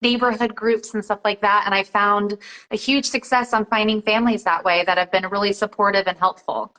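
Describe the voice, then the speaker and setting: A woman's voice: mid-range voice, fairly even intonation, brisk, pushed and bright, poor audio. A woman, an interview guest explaining her work in a casual, conversational style. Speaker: interview guest, student exchange coordinator.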